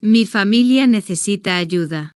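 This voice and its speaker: A woman narrates a beginner's story at a slow, deliberate pace, setting each short sentence apart. Her voice is smooth and well-rounded, with a mid-range pitch. The sound is close and dry, with no trace of the room. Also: dry recording. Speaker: language-learning narrator.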